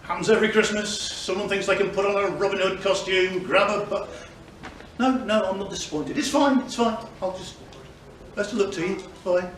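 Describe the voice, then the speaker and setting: A man's voice: sits high, fairly even intonation, a little fast, fairly bright tone. A man playing a grand but touchy heroic outlaw in a pantomime, with theatrical delivery. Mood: wounded. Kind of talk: pantomime guest acting. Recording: echoey, distant, faint noise.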